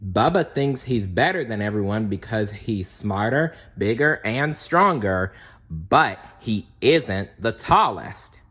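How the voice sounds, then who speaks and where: Guy voicing a helpful sidekick in a kids story, alert, loud n clear, lively pitch. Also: slow, heavily acted. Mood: insistent. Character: alert, helpful male sidekick advising a superhero. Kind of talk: read-aloud storybook character.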